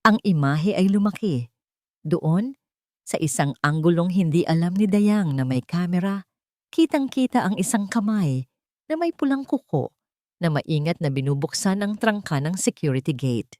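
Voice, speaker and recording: Lowish-voiced woman narrating dramatically. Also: big pitch swings, moderate pace, warm tone, dry recording, clean studio sound. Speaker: storyteller.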